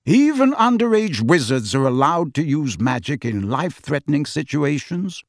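A man plays a calm, soft-spoken storybook man. He is deliberate and reassuring, choosing his words quietly. The sound is dry and close. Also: fairly high pitch, dry recording.

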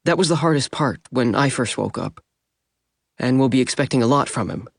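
A man doing a quiet, matter-of-fact male vampire. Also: medium pitch, lively intonation, a little fast, vocal fry, dry recording, clean studio sound. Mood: calm. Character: calm practical male vampire. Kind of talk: audiobook character voice.

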